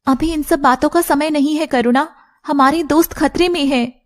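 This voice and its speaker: A woman's voice: high-pitched, normal speed, close to an everyday voice. A woman voicing a young, loyal serpent woman in a cartoon, earnest and dramatic. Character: young female shape-shifting serpent woman, emotional and loyal. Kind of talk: Hindi cartoon character dialogue.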